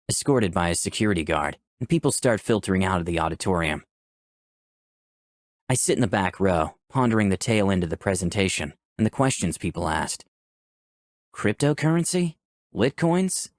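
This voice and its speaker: A man's voice: low-pitched, sing-song, normal speed, no room sound, clean studio sound. A man voicing a thoughtful, cautious visual novel protagonist in first-person, introspective narration. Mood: reflective. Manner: reflective, introspective.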